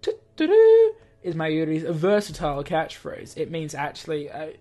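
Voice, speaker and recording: A man's voice: fairly high pitch, fairly even intonation, moderate pace, a little mellow. Male gaming host, theatrical storytelling read with occasional stumbles, music underneath. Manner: theatrical, stumbling reading with asides. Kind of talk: reading visual novel aloud, dramatized narration. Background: game music.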